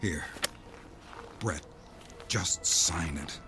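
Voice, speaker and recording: An actor playing a stern, impatient true believer in a radio drama, urging insistently. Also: male voice, low voice, sharp tone, soft background music, exaggerated voice. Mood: urgent, pleading. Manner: insistent, commanding, drawn-out urging. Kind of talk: modern radio drama acting.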